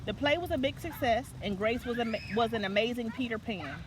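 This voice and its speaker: A woman's voice: a little high, brisk, background noise. A woman reading a children's picture book aloud as a story time reader, now and then stumbling and correcting herself.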